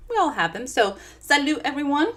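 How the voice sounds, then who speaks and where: Animated woman with a Dominican accent. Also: sits high, brisk. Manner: chatty and animated, talking straight to viewers. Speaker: author reading her own book. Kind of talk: live book reading with chatty asides.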